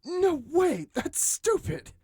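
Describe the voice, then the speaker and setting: A man's voice: extremely high pitch, sing-song, takes it slowly, a little mellow, dry recording, studio quality, heavily acted. An actor playing a blunt, exasperated but caring young male adventurer in an audiobook. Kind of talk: audiobook character acting.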